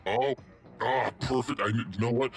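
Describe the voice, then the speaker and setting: A man's voice: mid-range voice, steady tone, normal speed, close to an everyday voice. A man playing a big, earnest, food-loving adventurer in a tabletop game, polite and hesitant. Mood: thoughtful. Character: big, food-obsessed, earnest space adventurer and amateur gourmet. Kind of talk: tabletop role-play in character.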